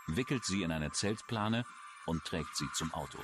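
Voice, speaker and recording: A man's voice: sits low, animated, normal speed, heavy background noise. A man reading scripted true-crime documentary narration as the narrator. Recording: music under the voice. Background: music.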